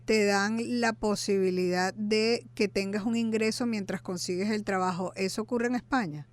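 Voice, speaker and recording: A woman's voice: medium pitch, steady tone, normal speed. A woman hosting a radio interview, asking questions and backing the guest with short acknowledgements. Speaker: radio show host, interviewer.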